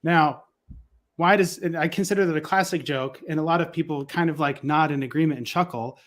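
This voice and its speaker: A man's voice: on the high side, a little fast. A man explaining ideas conversationally as a podcast guest, analytical and precise in wording, pressing points with emphatic rhetorical questions.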